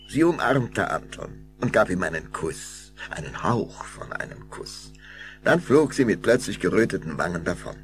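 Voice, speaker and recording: A deep-voiced man narrates a children's story expressively. His voice is gravelly and swings widely in pitch, over clear background noise. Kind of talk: scripted children's audio drama narration.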